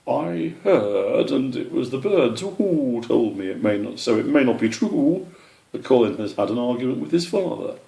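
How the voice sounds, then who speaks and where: Man as elderly male owl, learned, stuffy, measured, gravelly, storybook, echoey. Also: medium pitch, sing-song, dark tone, pitched above the performer's own voice. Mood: courteous. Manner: measured, donnish, slightly pompous. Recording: roomy, echoey sound.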